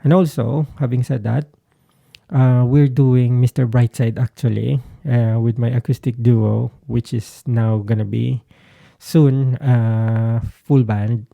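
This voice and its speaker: Guy chatting casually about guitar stuff, slow n hesitant, creaky voice, dry sound. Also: mid-range voice, steady tone, dry recording, phone-quality sound. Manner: hesitant, searching for words. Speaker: guitarist vlogger. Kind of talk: casual explanatory talk to camera.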